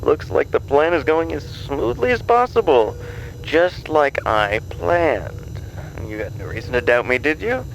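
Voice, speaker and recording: A man's voice: on the high side, sing-song, measured pace, gravelly, a little hiss or hum, full character voice, poor audio. A man playing a smug, scheming mastermind in an audio drama, condescending and given to chuckling. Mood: smugly amused.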